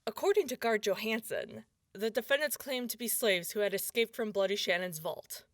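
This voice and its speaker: An actress as a suspicious frontier sheriff in a radio play. She is a woman of medium pitch who speaks firmly and plainly, recorded close and dry. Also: sing-song, moderate pace, dry recording, close to an everyday voice. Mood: wary, firm. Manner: plain-spoken, firm testimony. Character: blunt, suspicious woman sheriff of a wasteland town. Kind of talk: audio drama acting.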